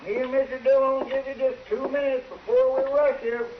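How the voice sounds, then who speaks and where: An excitable lawman's helper of the old West blusters in a very high, folksy voice. It sounds like a noisy, dull 1950s radio broadcast. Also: a little fast. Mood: strained bluster. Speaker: radio drama actor. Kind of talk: 1950s western radio acting.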